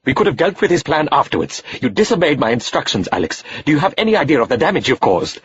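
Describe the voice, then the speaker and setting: A man voicing a furious adult male agent, a pilot who shouts over the noise of a plane. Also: medium pitch, lively intonation, a little fast, lo-fi sound, pitched above the performer's own voice, lightly acted. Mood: angry.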